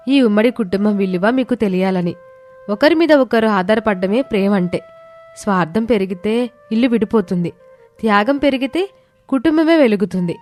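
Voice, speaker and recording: A woman's voice: mid-range voice, darkish tone, close to an everyday voice. A woman voicing a patient, wise eldest daughter-in-law who speaks earnestly in a moral family story.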